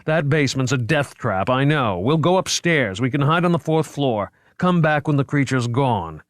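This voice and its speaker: A man voicing a panicked, stubborn male museum doctor who pleads in a frantic, shrill voice. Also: big pitch swings, a little fast, no room sound, clean studio sound. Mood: pleading.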